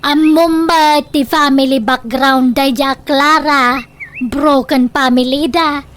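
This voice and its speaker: A woman's voice: high voice, steady tone, slow, fairly bright tone, a little hiss or hum. An actress playing a stubborn, meddling old mother in a radio drama, with a Filipino accent and a scolding, dismissive manner. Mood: scornful. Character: stubborn, meddling old mother who indulges her son.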